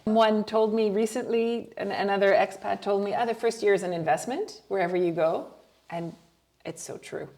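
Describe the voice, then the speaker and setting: A middle-aged woman from Canada whose first language is French, talking expressively in a relaxed interview, with frequent laughs and thoughtful restarts. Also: medium pitch, moderate pace. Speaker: guest. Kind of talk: casual conversational interview.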